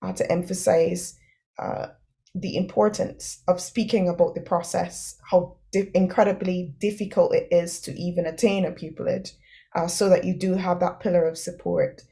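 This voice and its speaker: A woman's voice: lowish voice, flat delivery, moderate pace, vocal fry, mellow. A woman host chatting with her guest, affirming and laughing often, with many filler sounds. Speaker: podcast host. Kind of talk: interview chat.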